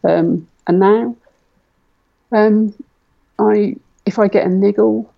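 Woman, forties, gravelly and flat-toned, muffled lo-fi line; relaxed interview guest who thinks aloud. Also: medium pitch, slow. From Britain. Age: 40s. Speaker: guest athlete. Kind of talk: casual conversational interview.

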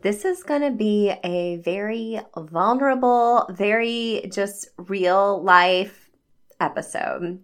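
A woman's voice: mid-range voice, slow, clear, resonant tone. A woman in her forties hosting her own podcast, talking in a chatty, upbeat way with lots of "like" and "you know.". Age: 40s. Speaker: podcast host and habit coach. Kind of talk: casual solo podcast monologue.

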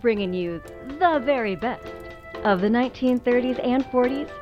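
An actress playing a polished, upbeat female radio announcer with a smooth, presentational delivery. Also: a little high, expressive, normal speed, highs cut off, natural voice. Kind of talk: old-time radio DJ announcing. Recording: band-limited radio sound with music behind. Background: music.

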